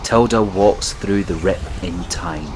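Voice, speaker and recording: A man reading scripted narration for an audio drama, describing the action in short measured sentences. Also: sits low, background noise.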